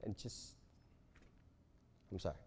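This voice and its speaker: A man in a staged reading playing an embattled company boss who feigns wounded dignity, speaking haltingly and solemnly. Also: darkish tone, close to an everyday voice. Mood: pained, halting. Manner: halting, self-pitying, solemn denials. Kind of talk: staged play reading of a TV interview.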